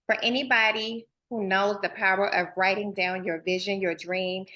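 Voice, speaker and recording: A woman's voice: medium pitch, steady tone, moderate pace, bright, forceful tone, rough recording. A woman hosting a casual talk show, playful and familiar, with slangy asides to her guest. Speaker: host. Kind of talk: casual chat.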